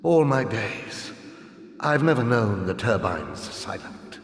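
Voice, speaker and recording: An actor playing a weary, dying male engineer of an otherworldly race in a video game, speaking in a halting, solemn way with mournful nobility. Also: mid-range voice, big pitch swings. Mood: resigned, noble. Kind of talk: video game cutscene acting. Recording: echoey hall with long reverb.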